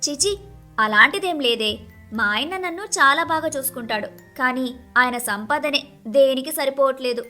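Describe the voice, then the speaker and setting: A woman acts out an envious young wife in an animated moral tale. Her voice is high and sharp, full of dramatic grumbling and wheedling. Music plays underneath. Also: moderate pace. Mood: grumbling envy. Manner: expressive, dramatic complaining and wheedling. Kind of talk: animated moral-story voice acting.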